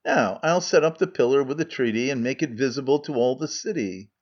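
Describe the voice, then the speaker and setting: A man's voice: medium pitch, lively intonation, brisk, lightly acted. A man voicing a shrewd, dry-witted male Athenian farmer, delivering verse sarcastically. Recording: muffled lo-fi recording.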